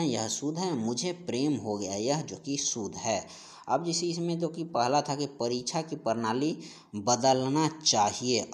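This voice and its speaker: A man teaches in a question-and-answer style, explaining and restating each answer. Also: mid-range voice. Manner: repetitive, explanatory, stressing answers by restating them. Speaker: Hindi exam-prep teacher. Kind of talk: question-and-answer grammar lesson.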